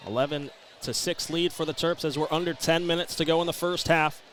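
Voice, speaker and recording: American guy doing hoops play by play, pretty high voice, brisk, bit of gym noise in back. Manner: brisk, descriptive, rising to excited calls. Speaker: play-by-play sports announcer. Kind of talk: live basketball play-by-play commentary. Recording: live arena broadcast, background ambient noise. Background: basketball game ambience.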